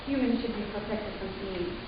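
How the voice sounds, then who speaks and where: A woman playing a possessive, commanding female alien mother in a dramatic reading, with measured delivery, recorded far off in an echoey room. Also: medium pitch, lo-fi sound, noisy background, close to an everyday voice. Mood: grave, firm. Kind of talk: dramatic reading of a literary story.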